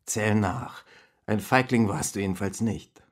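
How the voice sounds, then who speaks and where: An actor playing an older father in a radio drama, husky and gravelly, drily insistent and encouraging. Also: on the low side, a little slow, natural voice. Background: music.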